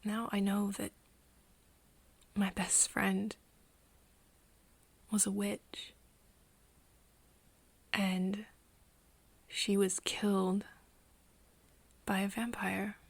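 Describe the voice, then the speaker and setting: A woman acting an earnest, wistful friend in an intimate ASMR roleplay, speaking softly and haltingly, often near a whisper. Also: mid-range voice, level tone, no echo, heavily acted. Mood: wistful, sad. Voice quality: soft, breathy, often whispery. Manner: hesitant, halting, pausing often.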